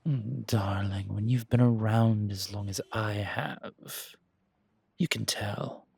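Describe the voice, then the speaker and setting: A flamboyant older charmer, acted by a man, purrs in a husky, slightly low voice. He lingers on his words and teases like a smooth club owner in a roleplay drama. The sound is dry and close. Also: fairly even intonation, a little mellow, dry recording, full character voice. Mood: teasing, seductive. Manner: purring, theatrical, teasing, drawn-out words.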